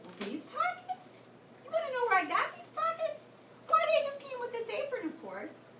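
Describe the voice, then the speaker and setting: A woman's voice: extremely high pitch, wildly swooping pitch, moderate pace, voice pushed up, full character voice. A woman voicing a friendly, easygoing workman in a storybook. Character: friendly handy workman in an apron. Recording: echoey room, far from mic, background noise.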